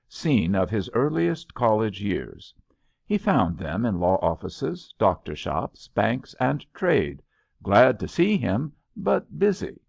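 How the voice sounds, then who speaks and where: Male narrator for literary audiobook. Animated intonation, creaky low voice, expressive measured phrasing, slightly muffled recording. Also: no echo. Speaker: audiobook reader.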